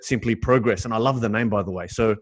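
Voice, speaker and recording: A man's voice: on the low side, fast. A middle-aged man hosting an interview in a casual, upbeat and enthusiastic way. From Sydney, Australia. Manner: upbeat, enthusiastic, friendly questioning. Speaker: interview host.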